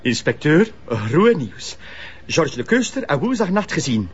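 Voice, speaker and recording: A man playing a flustered, grumbling police detective in a crime drama, with a husky voice and a Flemish accent. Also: on the high side, sing-song, unhurried, muffled recording, slight background noise. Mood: excited. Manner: flustered, exclaiming.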